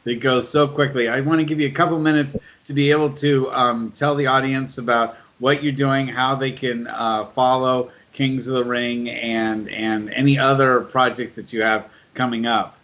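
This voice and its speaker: Man, medium pitch, podcast host, casual interview chat, slightly muffled lo-fi recording. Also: fairly even intonation, moderate pace, hardly any pauses, fairly bright tone. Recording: dull lo-fi call audio.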